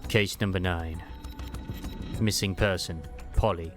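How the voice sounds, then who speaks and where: Guy doing a serious low commander voice, measured and terse, music under it. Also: steady tone. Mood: grave. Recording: music behind the voice.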